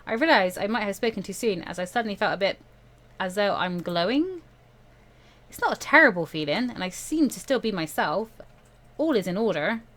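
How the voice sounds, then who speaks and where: A woman's voice: medium pitch, animated, a little fast, fairly bright tone. A woman hosting a game playthrough, reading a visual novel aloud expressively with casual commentary.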